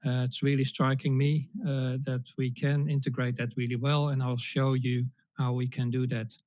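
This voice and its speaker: A man's voice: medium pitch, level tone, normal speed, darkish tone, dry recording. A Dutch-accented man in his fifties presents over a video call, stopping often with fillers and restarting his sentences. The call audio is dull and lo-fi, and the sound is dry and close, with no room around it. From Netherlands. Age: 50s. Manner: hesitant, many fillers and restarts. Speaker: guest speaker. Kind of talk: online presentation talk.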